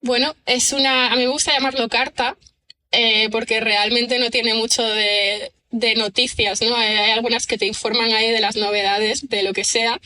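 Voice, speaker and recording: A woman's voice: on the high side, fairly even intonation, moderate pace, bright, forceful tone. A middle-aged woman, a writer talking through her ideas as an interview guest, full of fillers and restarts. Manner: thinks aloud with many fillers and restarts, laughs easily. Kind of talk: reflective conversational interview.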